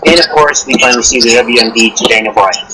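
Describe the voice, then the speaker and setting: A man's voice: medium pitch, fast talker, bright, forceful tone, a little hiss or hum, low-quality recording. A man on a casual panel steadily recapping and talking through events. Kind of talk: casual chat.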